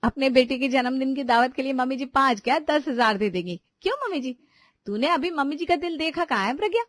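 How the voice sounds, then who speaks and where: Woman as scheming young daughter-in-law, sweet-talking, high pitch, persuasive, family storybook tale, dry sound. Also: expressive, brisk, no room sound. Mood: coaxing. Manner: coaxing, slyly persuasive. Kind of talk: animated Hindi family story dialogue.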